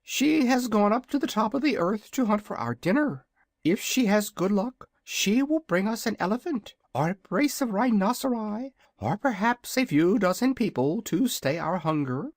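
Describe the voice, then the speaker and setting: A reader voicing a proud, hungry young dragon in a storybook, with a high, drawling, haughty manner. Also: lively intonation, moderate pace, no room sound, clean studio sound, lightly acted. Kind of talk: audiobook character voice.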